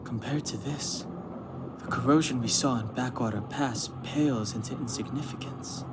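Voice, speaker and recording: An actor playing a calm, cautious, analytical young adventurer who speaks in a measured, formal way in a video game. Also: male voice, medium pitch, lightly acted. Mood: grave, measured. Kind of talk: English game voice acting, cutscene dialogue. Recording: clear ambient noise behind voice.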